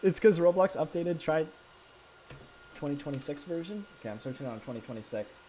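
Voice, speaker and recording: A young Canadian man talks to his live chat while he plays, his pitch a touch high and swinging widely. He restarts his sentences often and reacts with excitement, speaking into a desk mic at home. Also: a little fast, slight background noise, low-quality recording. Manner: animated, stammering, talks to chat. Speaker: streamer. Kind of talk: live gameplay stream chat.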